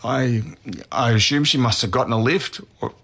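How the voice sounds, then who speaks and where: A man's voice: mid-range voice, normal speed, fairly bright tone. Young aussie guy in his 20s, defensive, earnest, slangy, like hes being interviewed as a suspect. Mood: regretful, defensive. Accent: Australian. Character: defensive young Australian man, a murder suspect giving an interview. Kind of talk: naturalistic audio drama acting as an interview.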